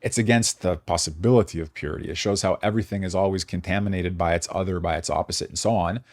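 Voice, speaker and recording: A man's voice: sits low, fairly even intonation, quick pace. A man giving an unscripted philosophy lecture, thinking aloud, searching for words and restating his ideas. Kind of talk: unscripted explanatory lecture with read quotations.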